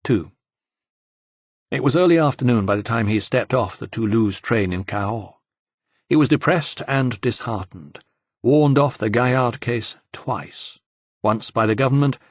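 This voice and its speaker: A man's voice: mid-range voice, no room sound. A man narrating fiction from a script as an audiobook reader.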